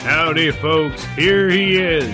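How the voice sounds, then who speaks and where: A man voicing a folksy Texan cowboy announcer with a slow, showy drawl, over country guitar music. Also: on the high side, pitched above the performer's own voice. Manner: drawling, slow showman delivery.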